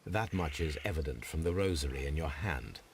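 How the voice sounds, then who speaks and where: An actor playing a composed Victorian gentleman detective in a video game, with an English accent and a measured, precise delivery. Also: very deep voice, expressive. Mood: assured deduction. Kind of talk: video game dramatic acting. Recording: clear background noise and ambience.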